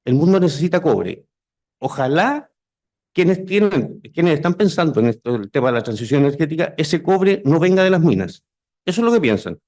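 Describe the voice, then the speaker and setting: Chilean man presenting, slightly high-pitched voice. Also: a little mellow. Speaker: conference speaker. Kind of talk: informal presentation to a seminar audience.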